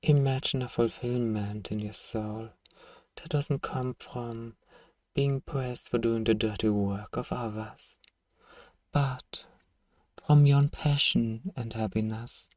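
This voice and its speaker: A man playing a powerful, manipulative vampire in an ASMR roleplay, theatrical and commanding, with a softly menacing, condescending manner. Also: mid-range voice, steady tone, slow, dry recording, recorded from a distance. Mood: hypnotic soothing. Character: powerful suave manipulative male vampire, commanding yet coaxing. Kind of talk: ASMR roleplay, scripted hypnotic monologue.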